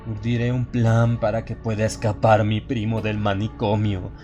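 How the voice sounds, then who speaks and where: A man's voice: mid-range voice, darkish tone, lightly acted. A man playing a haunted narrator slipping into exalted, fanatical madness in a solemn, theatrical horror audiobook. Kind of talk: dramatic first-person horror audiobook narration.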